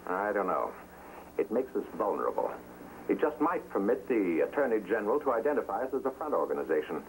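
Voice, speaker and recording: Exacting, declamatory front-company boss, 1950s TV drama. Lowish, very animated voice. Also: male voice, fairly quick. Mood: doubtful. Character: suave, exacting male boss of a communist front film company. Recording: noisy old 1950s TV soundtrack.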